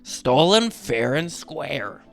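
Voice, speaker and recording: A man voicing a thieving male robot villain in a drawn-out, gloating manner for a children's storybook. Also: a little high, big pitch swings, vocal fry, soft background music, heavily acted.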